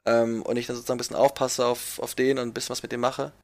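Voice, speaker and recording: A man's voice: mid-range voice, flat delivery, racing. A young man from the Munich area, a childcare worker, who speaks earnestly in a reflective conversation and often searches for his words.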